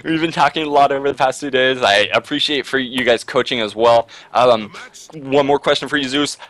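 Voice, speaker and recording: A man's voice: mid-range voice, a little fast, a bit bright, low-quality recording. Upbeat man co-casting with a chuckle. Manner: upbeat, laughs often, keeps saying "absolutely". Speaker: esports co-caster and interviewer. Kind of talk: casual chat and color commentary.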